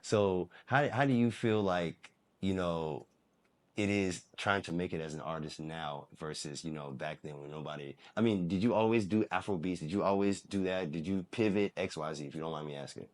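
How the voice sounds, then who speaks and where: A man's voice: low voice, moderate pace. A young man co-hosting an interview show, chatting casually and backing up his guest with lots of "man" and "bro.". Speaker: podcast co-host.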